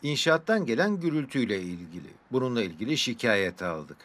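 A man's voice: medium pitch, sing-song, brisk, a bit bright, soft background music. A man playing a polite but firm figure in a dubbed children's cartoon, courteous and admonishing.

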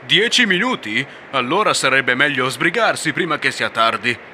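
A man's voice: mid-range voice, lively intonation, moderate pace. A man voicing a bossy male bear animatronic who leads the show and gives orders, in a noisy recording. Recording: loud background noise.

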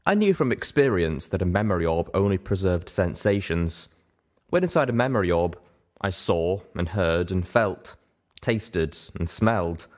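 A man narrating scripted fiction in a measured, dramatic storytelling manner. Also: low voice, expressive. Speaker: audiobook narrator.

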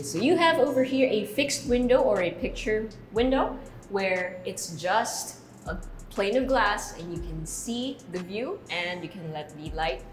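A young woman hosting a walking house tour, chatty and upbeat, recorded with a clip-on mic inside a large house. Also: medium pitch. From Philippines. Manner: upbeat, chatty, laughs easily. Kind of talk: walking real-estate tour narration. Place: large house interior, rooms and balconies.

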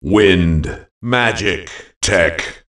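A male actor playing a theatrical fantasy video game announcer, calling out short phrases with deliberate emphasis. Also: low-pitched, fairly bright tone, recorded from a distance, exaggerated voice. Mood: announcing, dramatic. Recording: echoey, set back from the mic.

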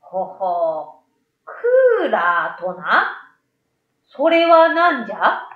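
A woman voicing a small, curious, easygoing old yokai in a storybook, with a folksy old-man way of talking and drawn-out word endings. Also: fairly high pitch, huge pitch swings, reverberant, phone-quality sound, heavily acted. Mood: curious, impressed. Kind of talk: storybook read-aloud.

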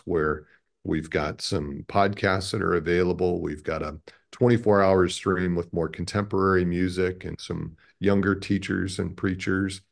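A man in his fifties speaks in a low voice with a lot of fry in it. He answers interview questions conversationally and in a measured, hesitant way. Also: darkish tone. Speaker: radio station president, interview guest. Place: small indoor office, on a video call.

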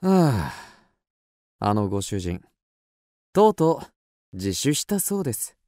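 An actor playing a cool, self-assured young detective in a radio drama, explaining things deliberately and theatrically. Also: male voice, fairly low pitch, big pitch swings, warm tone, no room sound, studio quality. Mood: resigned. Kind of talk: Japanese radio drama acting.

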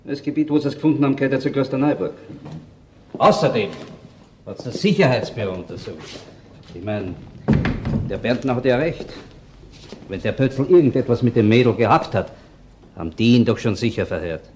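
A gruff Austrian police inspector, played by an actor, sceptical of everyone. His male voice sits in the middle range, on an old radio drama recording with slight noise. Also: mid-range voice, brisk, long pauses, natural voice. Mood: dismissive. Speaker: actor in a radio crime play.

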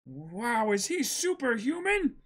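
A man voicing a naive, excitable, carefree boy adventurer in a manga reading. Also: very high voice, slow, pitched above the performer's own voice, heavily acted. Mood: amazed. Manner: brash, carefree.

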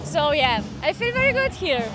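Young romanian woman, high voice, exchange student, loud music under it. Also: big pitch swings, normal speed. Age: 20s. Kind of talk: on-camera testimonial. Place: outdoors on campus lawn. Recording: loud music behind outdoor speech.

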